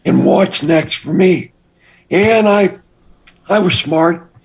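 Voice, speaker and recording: An older man with a raspy voice, a guest telling his past story in a measured, deliberate way. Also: sits high. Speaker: interview guest. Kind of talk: recounting his past in an interview.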